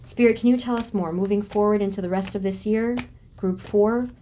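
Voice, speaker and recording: A woman reading tarot cards for her viewers, talking softly and haltingly with many pauses and restarts. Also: medium pitch, steady tone, slight background noise. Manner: soft, halting, with frequent ums and restarts. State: voice briefly going hoarse and quiet. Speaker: tarot reader. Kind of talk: pick-a-card tarot reading.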